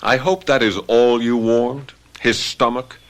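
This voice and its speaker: A man's voice: medium pitch, steady tone, measured pace, close to an everyday voice. A man acting in a radio drama as a jealous, ambitious young gendarme, earnest and dramatic in delivery. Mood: jealous, suspicious.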